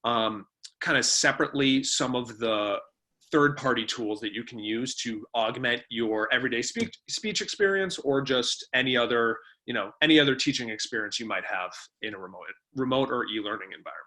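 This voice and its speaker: A man's voice: mid-range voice, fairly quick, sharp tone, rough recording. A man giving an informal online presentation, explaining things in a conversational way as the webinar's presenter. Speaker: webinar presenter, company co-founder. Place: remote webinar.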